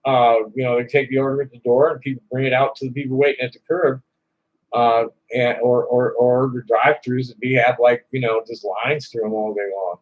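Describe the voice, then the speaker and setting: A man hosting a live call-in stream, rambling in a halting way with many fillers and restarts. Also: medium pitch, little pitch movement, rough recording. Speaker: livestream host answering chat questions. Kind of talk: rambling casual chat. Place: Tucson, Arizona.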